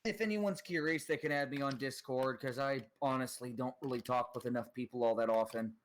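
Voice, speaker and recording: A man's voice: mid-range voice, fairly quick. A man chatting over voice chat as a guest on a stream, rambling and hesitant as he talks about doing voice impressions. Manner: rambling, hesitant, self-conscious. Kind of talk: casual voice chat.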